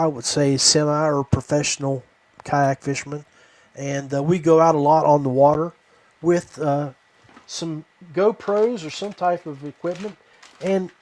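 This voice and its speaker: A man hosting a podcast in a casual, folksy, halting way. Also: on the high side, a little mellow. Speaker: podcast host.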